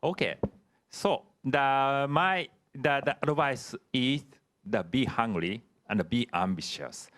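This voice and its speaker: A man who is a professor, answering questions in an online lecture in halting speech full of restarts. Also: medium pitch, a bit bright. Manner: halting, frequent filler "the" and restarts.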